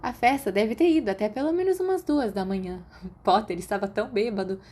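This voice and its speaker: A woman's voice: mid-range voice, a little fast, dark tone, voice pushed up, lightly acted. A woman with a Brazilian Portuguese accent voicing a friendly, playful teenage schoolgirl in an audiobook.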